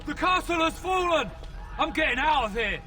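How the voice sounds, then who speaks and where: An actor playing a frightened but obedient castle soldier in a fantasy video game. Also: male voice, very high voice, lively intonation, normal speed, sharp tone, heavily acted. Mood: panicked. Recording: distant game audio with music.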